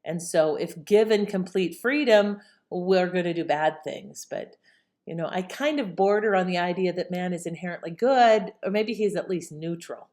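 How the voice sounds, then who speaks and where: A woman's voice: fairly low pitch, normal speed, a little mellow. A woman host giving casual, reflective opinion commentary in a conversational way.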